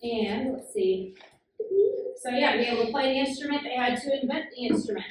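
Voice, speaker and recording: A woman's voice: on the high side, fairly quick. A woman teaching a children's Bible class, asking the kids questions patiently with frequent "um" fillers. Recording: very echoey room, far from the mic, noisy.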